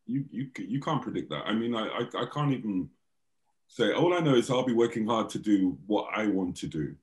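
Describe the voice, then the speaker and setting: Low-voiced hesitant man. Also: expressive, brisk. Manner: hesitant, laughs easily. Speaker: DJ, guest. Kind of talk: casual chat. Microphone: video call.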